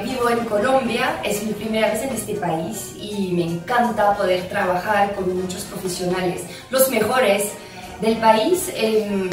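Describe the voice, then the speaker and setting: A woman in her thirties from France with a French accent, an actress chatting in an interview with a measured, thoughtful delivery. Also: mid-range voice. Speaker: musical theatre actress. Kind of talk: casual interview chat. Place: office room with glass wall, seated on chairs. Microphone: far camera mic. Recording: very echoey room from far off.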